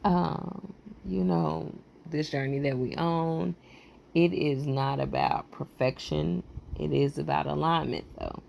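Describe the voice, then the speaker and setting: A woman hosting a motivational podcast, talking in a loose, rambling way with a raspy, froggy voice, hoarse and short on sleep. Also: sits low, fairly even intonation, takes it slowly, darkish tone, a little hiss or hum. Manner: loose, rambling encouragement with casual slang. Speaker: podcast host.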